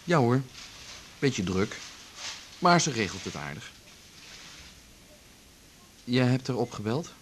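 A man acting a composed, persuasive character in a radio drama, speaking in a measured, everyday way. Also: medium pitch, big pitch swings, a little hiss or hum. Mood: calm, probing. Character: composed, persuasive man pressing a private deal.

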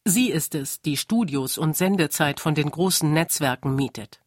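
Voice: medium pitch, animated, moderate pace, no room sound. Documentary narrator with a dry, close sound. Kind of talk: scripted radio documentary narration.

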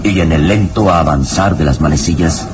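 A man's voice: extremely low pitch, moderate pace. A man narrating a horror adventure radio serial in a grave, theatrical, ominous style. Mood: ominous, suspenseful. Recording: radio drama with background music. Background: dramatic music.

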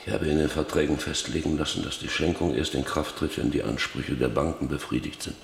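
An actor playing a broken, guilt-ridden rancher in a radio play, halting and weary, sinking into whispers. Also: male voice, rumbling low, fairly even intonation, gravelly. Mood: subdued. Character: broken, guilt-ridden male rancher facing his end. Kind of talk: German radio play acting.

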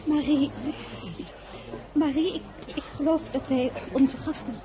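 A woman's voice: high voice, steady tone, measured pace, noisy background. An actress playing a generous young noblewoman giving orders to her maid. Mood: fussing. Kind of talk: radio play acting.

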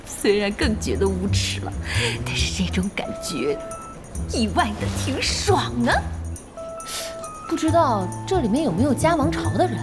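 A spirited young woman in an audio drama. Her high voice swings widely as she teases and banters. Clear music plays behind her. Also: very animated, unhurried, pauses often. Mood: amused, teasing. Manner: giggly, bantering. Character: spirited young woman, amused, teasing friend of a gamer. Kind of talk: Chinese audio drama acting.

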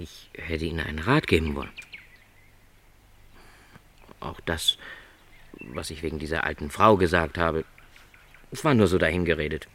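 An actor playing a gentle, lonely middle-aged travelling salesman in a literary radio drama, speaking in a measured, reflective, hesitant way. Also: very deep voice, full character voice.

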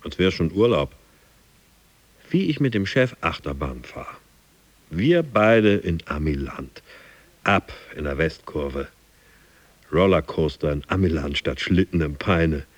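An actor playing a driven, boastful gym fanatic in a radio drama monologue, intense and theatrical. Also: male voice, rumbling low, animated, slow pace, long pauses, husky, dry recording, exaggerated voice. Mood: dreamy, exalted. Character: driven, boastful male gym fanatic dreaming of his boss and America.

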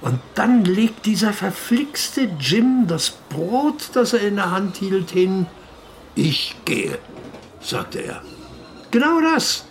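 An actor playing a talkative, excitable ship chandler with a gravelly voice, telling things emphatically in a German radio play. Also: male voice, high voice, takes it slowly, slight background noise, exaggerated voice. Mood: aggrieved, chatty. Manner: garrulous, emphatic storytelling.

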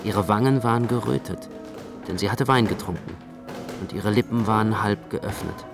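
A male narrator tells a literary story in the first person. His voice is fairly low and his phrasing measured and careful, with music clearly audible behind him.

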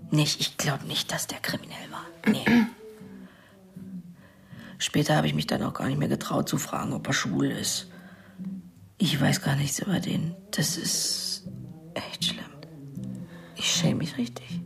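An actress playing a plain-spoken, rueful middle-aged mother in a radio crime drama, with a husky, halting voice. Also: sits low, in a whisper, faint music behind, full character voice. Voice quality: husky, breathy, gravelly. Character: plain-spoken working-class mother, rueful about her brother.

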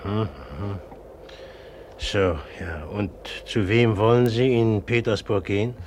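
An actor in a 1950s German radio play, playing a sceptical, domineering young merchant's son, gruff and impatient, repeating words curtly. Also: male voice, lowish voice, takes it slowly, a little mellow. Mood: probing. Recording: faintly noisy 1950s radio recording.

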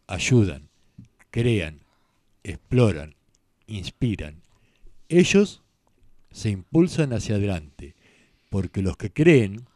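Male co-host, Rioplatense Argentine, mellow tone with lively pitch movement, casual radio delivery. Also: dry recording, studio quality. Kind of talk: casual radio banter and recitation.

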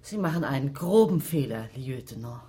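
A woman's voice: very deep voice, expressive, normal speed, warm tone, breathy edge, exaggerated voice. An actress playing a firm, unflinching woman journalist who challenges authority in a radio crime drama. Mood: defiant. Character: assertive, unflinching young woman journalist confronting police.

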